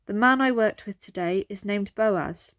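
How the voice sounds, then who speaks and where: A woman's voice: mid-range voice, measured pace, a bit bright. A woman playing a humble, grateful young widow in a dramatised Bible reading. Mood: reporting gladly.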